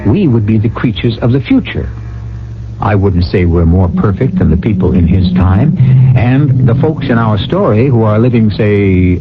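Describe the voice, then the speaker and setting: A man hosting and narrating a radio mystery series in a wry, deliberate, theatrical style, posing rhetorical questions. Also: fairly low pitch. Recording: muffled radio broadcast, loud music.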